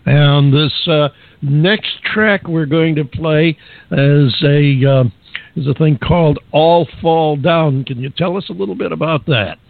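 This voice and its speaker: A man hosting a radio show, interviewing a guest in a genial, halting way with many restarts. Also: fairly high pitch, gravelly, no room sound, narrow, tinny audio.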